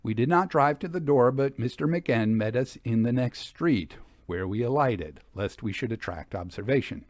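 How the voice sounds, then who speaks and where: Man, audiobook narration, Victorian ghost story, mid-pitched, lively intonation, measured storytelling. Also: no echo. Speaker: audiobook reader.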